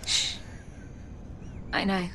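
A woman's voice: extremely slow, background noise. A woman playing a determined, caring survivor in a video game, speaking with emotive urgency. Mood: tender.

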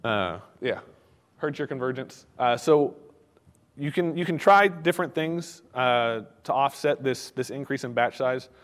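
A man giving a technical conference talk in a casual, halting way, with many fillers and restarts. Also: mid-range voice, expressive, in a large hall. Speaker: conference speaker. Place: conference hall.

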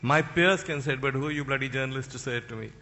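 A man's voice: medium pitch, racing, pushed and bright. A middle-aged man interviewing guests on a conference stage, probing and wry, speaking into a desk microphone. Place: large conference hall stage. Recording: long hall reverb.

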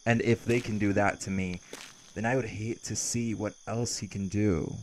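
A man's voice: lowish voice, steady tone, moderate pace, darkish tone, slight background noise, lightly acted, poor audio. A man playing a cheerful, cocky vampire who teases, flirts and casually threatens in an intimate audio roleplay. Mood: chatty, wry.

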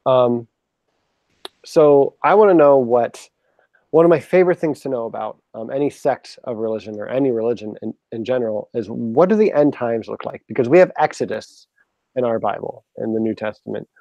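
A young man hosting a casual interview over a video call from his room at home, chatty and quick to laugh. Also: mid-range voice, warm tone, dry recording, highs cut off. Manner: chatty, laughs easily, asks rambling questions. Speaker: host. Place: home room with a shelf of collectibles. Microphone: video call webcam.

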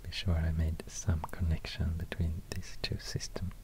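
A man with a Swedish accent giving soft-spoken, whispered ASMR in a hushed, halting way, close to a binaural microphone. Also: a bass voice, little pitch movement. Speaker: ASMR host.

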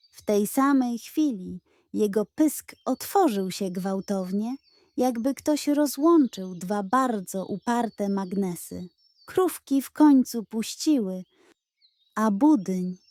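A woman narrating a bedtime story for children in a gentle, expressive way, close to the mic. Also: mid-range voice, no echo.

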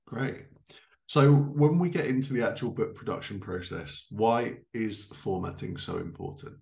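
A man's voice: on the low side, a little slow, creaky, a little mellow. A man in his thirties interviewing in an informal, agreeable way over a video call. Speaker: podcast host, interviewer. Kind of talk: casual interview chat. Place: living room, indoors.

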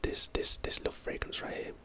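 A man's voice: a little fast, sharp tone. A man with a London accent doing casual ASMR commentary, reading and reacting to trivia in a rambling, playful, slangy way. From London, England.

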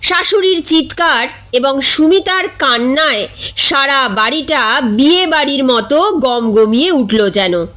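A high-pitched woman narrates a comic short story. She takes her time, reading expressively as a storyteller. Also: unhurried. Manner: expressive, acts out characters' dialogue.

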